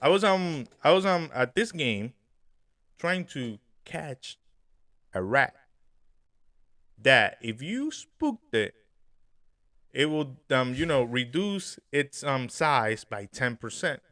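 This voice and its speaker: A young man co-hosting a podcast, telling a dream story in an animated way, laughing and acting out what people said. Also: medium pitch, slow pace, pauses often. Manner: animated, laughing, acts out quotes. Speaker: podcast co-host. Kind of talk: casual chat, storytelling.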